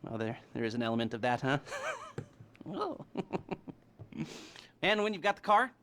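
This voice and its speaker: An actor playing a creepy, awkward middle-aged criminal planner in a video game, smug and stammering with nervous chuckles. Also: male voice, medium pitch, big pitch swings, normal speed, bright, forceful tone. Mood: methodical, gleeful. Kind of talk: video game cutscene acting, halting improvised-sounding speech.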